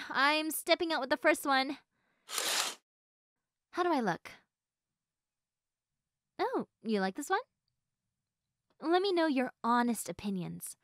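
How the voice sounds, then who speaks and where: Playful high-voiced young woman acted by a woman. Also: no room sound, clean studio sound. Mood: upbeat, chatty.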